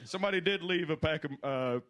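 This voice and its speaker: A man with a Southern US accent, chatting on a comedy stage in a folksy, self-deprecating, unhurried way. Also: a little high, a bit bright. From Kentucky, USA. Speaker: amateur comic being interviewed on stage. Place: live comedy show stage.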